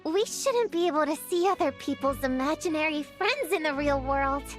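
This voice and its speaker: Snooty skeptical little girl, high voice, dry delivery, game music behind. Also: animated, normal speed. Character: prim, skeptical, know-it-all young girl. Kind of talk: video game character acting.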